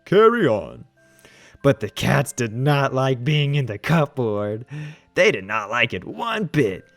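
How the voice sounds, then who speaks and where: A man reading a children's storybook aloud as an animated narrator. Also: mid-range voice, measured pace, no echo, soft background music. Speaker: children's book reader.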